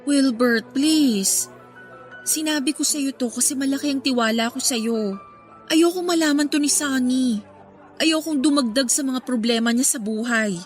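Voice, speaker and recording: A woman's voice: sits high, measured pace. A guarded young pregnant woman, music behind. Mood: pleading. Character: guarded, self-doubting young pregnant woman confiding in friend. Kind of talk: Tagalog radio drama acting.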